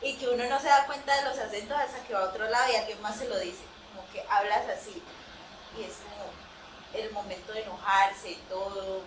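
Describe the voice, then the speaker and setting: A woman's voice: mid-range voice, fairly even intonation, fairly quick. A young Colombian woman with a Cauca accent, answering as a student at her thesis defense, hesitant with many fillers and candid. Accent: Colombian Spanish from Cauca. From Puerto Tejada, Cauca, Colombia. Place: large echoey hall. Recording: echoey room, distant, noisy.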